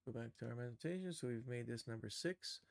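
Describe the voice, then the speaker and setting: Male tutorial streamer, mid-pitched with gravelly fry, close dry sound, muttering through each step casually. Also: animated, fast talker, dry recording. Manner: thinking aloud, muttering short step-by-step remarks. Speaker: game developer streaming a tutorial. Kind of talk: casual screen-share walkthrough, narrating own steps.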